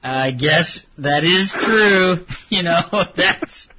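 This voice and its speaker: Radio talk show guy, kinda high for a man, jokey, lots of laughing, dull radio sound. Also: very slow, slight background noise. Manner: joking, laughs often, teasing. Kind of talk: call-in radio banter. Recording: muffled radio broadcast.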